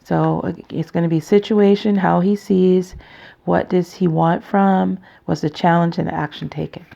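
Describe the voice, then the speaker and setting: A tired woman with a raspy voice, hosting a casual card reading in a halting, chatty, informal way. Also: on the low side, steady tone, mellow. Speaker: tarot reader, channel host. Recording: dull, muffled lo-fi sound.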